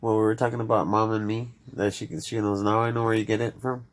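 A man's voice: lowish voice, flat delivery, fast talker. A man with a Mexican accent, chatting casually on his show and rambling to listeners. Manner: rambling, addresses listeners directly. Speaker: podcast host. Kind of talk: casual chat.

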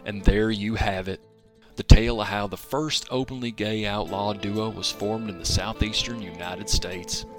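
Low-voiced guy narrating like a storybook, dry and mock-serious, guitar under it. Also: fairly even intonation, normal speed.

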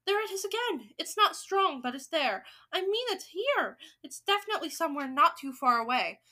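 Storybook witch: woman performs excitable, urgent shrieker, very high and piercing. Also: normal speed, exaggerated voice. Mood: frantic alarm. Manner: shrieking, yelling. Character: tall excitable witch Mildred, shrill and urgent.

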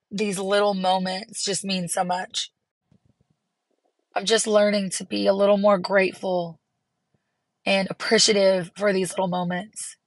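A hoarse woman, hosting her podcast from a parked car in an earnest, encouraging way. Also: medium pitch, fairly bright tone, dry recording. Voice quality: raspy. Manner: earnest, encouraging, rambling with restarts. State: hoarse from laryngitis. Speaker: podcast host. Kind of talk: casual solo podcast talk.

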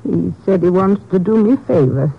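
An actress playing an ashamed, destitute old widow in a radio crime drama, halting and despairing in plain folksy speech. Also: female voice, lowish voice, animated, vocal fry, husky, heavily acted. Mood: subdued recounting. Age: older. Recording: muffled vintage radio drama recording.

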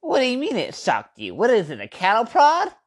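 A woman's voice: a little high, huge pitch swings, a little fast. A woman voicing a grumpy, impatient elderly sister who snaps at her siblings and calls them names in an amateur radio drama. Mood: defiant. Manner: snappish, bossy, name-calling. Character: grumpy eighty-something sister, rude and impatient.

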